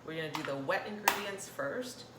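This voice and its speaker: A woman's voice: on the low side, moderate pace. A woman from Thailand hosting a casual cooking demonstration, chatting in a rambling way and often interrupting herself. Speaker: cooking channel host. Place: indoor kitchen. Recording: roomy echo, clear kitchen noise, clattering dishes. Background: cutlery and dish clatter.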